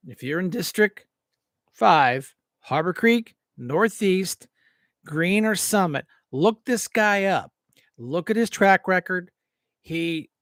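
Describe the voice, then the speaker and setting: A man's voice: sits high, a bit bright, dry recording, studio quality. An American man giving an informal, off-the-cuff political rant as a host, blunt, combative and folksy, repeating phrases for emphasis. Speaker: talk show host and local political candidate.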